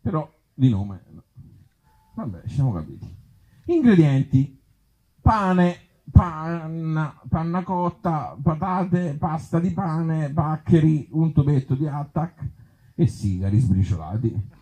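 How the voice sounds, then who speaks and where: A man with a slightly high, husky voice reads absurd little tales live. His pitch swings widely, yet the tone stays mellow. He delivers nonsense deadpan and breaks off for offhand, hesitant asides. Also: slow pace, poor audio. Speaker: author and actor reading his comic tales at a live event. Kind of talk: comic live storytelling, reading absurd short stories aloud with asides.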